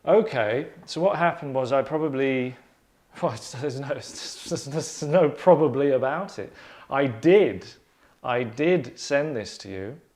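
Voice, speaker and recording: A man in his thirties hosting a casual group discussion, talking in a hesitant, rambling, deadpan way. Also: medium pitch, takes it slowly. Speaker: host and narrator of a table-read show. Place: small furnished lounge, indoors.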